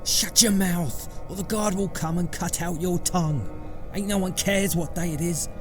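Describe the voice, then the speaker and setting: A man playing a bitter, hopeless, frightened dungeon prisoner with rough colloquial speech in a fantasy audio drama. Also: high-pitched, steady tone, normal speed, over music, natural voice. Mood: bitter, fearful.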